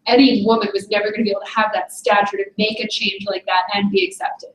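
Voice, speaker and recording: A woman's voice: mid-range voice, little pitch movement, quick pace. A woman student making a point in a class discussion, casual and full of "like". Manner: casual, uses "like" often. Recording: echoey classroom, distant mic.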